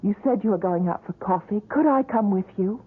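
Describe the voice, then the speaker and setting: A woman's voice: mid-range voice, a little fast, husky, natural voice. An actress playing a young woman who hesitantly but persistently asks to see a closed exhibit. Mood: earnest. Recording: tinny 1970s radio broadcast.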